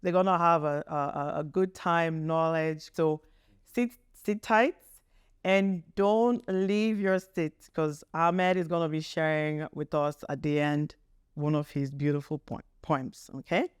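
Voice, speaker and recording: A woman's voice: low-pitched, fairly even intonation, a little slow, smooth, dry recording, clean studio sound. A woman hosting an interview podcast, introducing and addressing people in an enthusiastic, effusive way. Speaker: podcast host.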